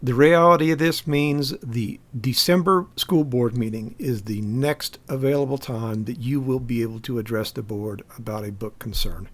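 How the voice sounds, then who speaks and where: A man's voice: medium pitch, normal speed, few pauses. An American man giving critical spoken commentary in an emphatic, insistent, argumentative way. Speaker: activist commentator.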